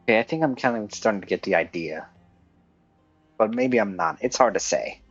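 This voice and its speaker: Wry young traveler, animated. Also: male voice, mid-range voice, a little fast, creaky, highs cut off, faint music behind, heavily acted. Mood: dryly unsure. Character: young male traveler hero, modest, unsure, dryly wry. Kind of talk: video game character acting.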